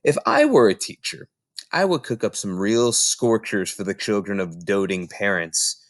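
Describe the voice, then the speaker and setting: A man reading a children's book aloud in an expressive, theatrical storytelling style with emphatic phrasing. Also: fairly low pitch, normal speed, no echo, clean studio sound.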